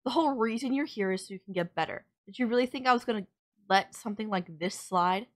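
A woman's voice: medium pitch, quick pace, lightly acted. A woman playing a sardonic villain nemesis in an audio roleplay, scolding and teasing the listener in an exasperated but caring way. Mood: scolding care.

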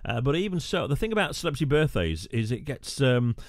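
Radio presenter, male, mid-pitched, casual tutorial talk, dry close mic. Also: very animated, brisk, no echo. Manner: chatty, wry asides, frequent "uh" fillers. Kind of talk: screen-recorded tutorial narration.

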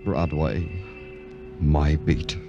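A man's voice: a bass voice, steady tone, drawn-out words, gravelly, highs cut off, exaggerated voice. A man playing a world-weary police detective in a 1950s radio crime drama, with a dramatic, hard-boiled delivery. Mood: brooding, poetic. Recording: old radio recording with music behind.

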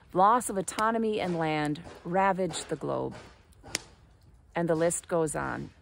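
A woman in her forties, a pastor, giving a measured, earnest devotional reflection outdoors by a snowy fire pit, filmed on a phone at arm's length. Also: medium pitch, creaky, slight background noise. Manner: measured, earnest, lyrical delivery. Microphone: phone camera at arm's length.

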